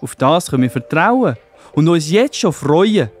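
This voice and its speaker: A man's voice: on the high side, sing-song, measured pace, soft background music. A man playing a reassuring angel messenger in a children's Bible audio play. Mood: reassuring, solemn.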